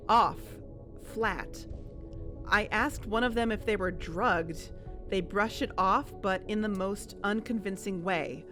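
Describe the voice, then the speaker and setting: Serious, mid-pitched young woman investigator. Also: fairly bright tone. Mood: uneasy, reading aloud. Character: earnest young woman leading an amateur investigation. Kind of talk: fiction-podcast dialogue and narration.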